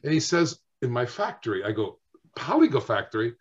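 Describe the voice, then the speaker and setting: A man telling lively stories as a guest on a video call, acting out the dialogue as he goes. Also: mid-range voice, a little fast, slightly rough, highs cut off. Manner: animated storyteller, acts out dialogue. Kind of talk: casual storytelling chat.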